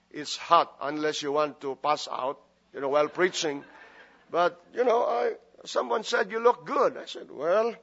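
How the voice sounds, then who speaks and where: A man's voice: a little high, fairly bright tone, muffled recording. A man from the Philippines with a Filipino accent, preaching a sermon and praying as a guest pastor, chatty with joking asides and many fillers. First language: Filipino language. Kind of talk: sermon with prayer and humor.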